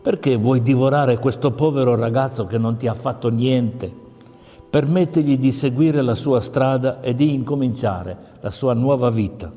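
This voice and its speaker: A man voicing a brave old mother in a fairy tale, resolute, loud and earnest. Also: medium pitch, in a large hall, lightly acted.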